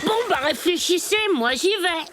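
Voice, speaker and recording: A woman's voice: extremely high pitch, normal speed, very noisy. A woman playing a boastful, impatient young animal cub in a cartoon dub, childlike and blustering. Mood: eager, cocky. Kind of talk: animated series dubbing. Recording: voice over loud background music.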